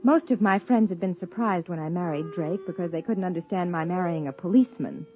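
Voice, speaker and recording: An actress playing an earnest, persuasive scientist wife who also narrates, in 1950s radio drama style. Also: female voice, lowish voice, fairly quick, soft background music, lightly acted. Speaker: narrator and lead character. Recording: dull 1950s radio broadcast.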